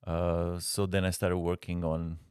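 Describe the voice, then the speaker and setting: Slovenian guy, super deep voice, kinda hesitant, lots of uhs, dry close sound. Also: completely flat delivery, darkish tone, clear, resonant tone, no echo. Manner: halting, many "uh" pauses. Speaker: furniture designer, guest. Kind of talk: casual interview answers.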